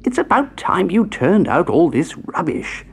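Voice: medium pitch, very animated, measured pace, vocal fry, breathy edge, pitched above the performer's own voice, exaggerated voice. A narrator voicing a shopkeeper's nagging wife in a children's storybook, in an emphatic, grumbling manner. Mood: scolding. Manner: scolding, emphatic.

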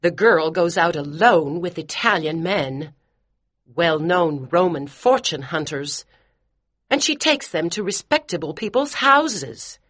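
A man's voice: fairly high pitch, expressive, takes it slowly, fairly bright tone, voice pushed up, heavily acted. A man reading an audiobook and voicing a prim, snobbish older aunt who speaks with scorn. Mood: disapproving.

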